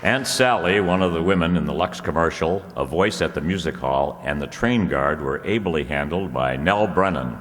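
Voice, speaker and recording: A man playing a vintage radio announcer, reading scripted copy in a measured, formal manner. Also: very deep voice, lively intonation, crowd noise. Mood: formal, genial. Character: smooth 1930s radio announcer selling soap. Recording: echoey hall, noisy audience room.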